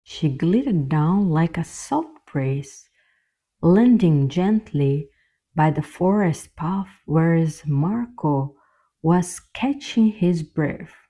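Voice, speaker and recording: A woman narrating a scripted children's fairy tale as the storyteller, in a gentle, measured way. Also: low-pitched, animated.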